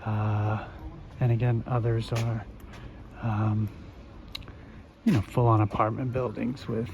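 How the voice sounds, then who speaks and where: Hoarse male vlogger, loose filler-heavy street-tour chatter, muffled outdoor phone audio with passing cars. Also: medium pitch. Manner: rambling, unhurried, many fillers. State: hoarse, recovering from illness. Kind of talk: walking tour commentary. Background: street traffic.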